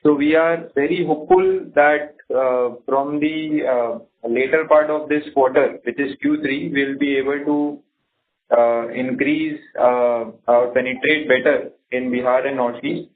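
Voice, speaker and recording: A man with an Indian accent answering as a company executive on an earnings call, halting and measured, pausing between short phrases. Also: mid-range voice, steady tone. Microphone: conference phone call. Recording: narrow tinny phone line.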